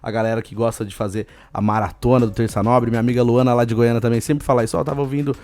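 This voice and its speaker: A man with a Brazilian accent hosting a casual solo podcast, hoarse and chatty, giving listeners shout-outs. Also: mid-range voice, flat delivery, racing. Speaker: podcast host.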